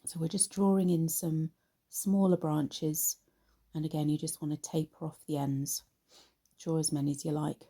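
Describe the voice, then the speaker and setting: A woman talks through a painting tutorial in a relaxed, informal way. Her voice is low and soft-toned, with a gravelly creak and a slight croak left by a cold. She takes her time as the host of the lesson. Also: moderate pace.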